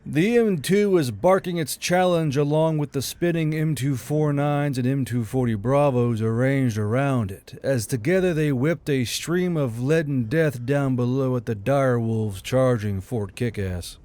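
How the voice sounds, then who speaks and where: A man's voice: mid-range voice, a little slow, hardly any pauses, mellow. A man narrating an audiobook story in a dramatic, scripted style.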